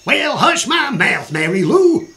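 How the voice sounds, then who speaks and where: An amateur actor playing a brash cowboy-style sheriff with a mock Southern American drawl in a radio play. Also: male voice, extremely high pitch, very animated, slow, bright, forceful tone, heavily acted. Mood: swaggering. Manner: drawling, folksy. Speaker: Sheriff Rusty.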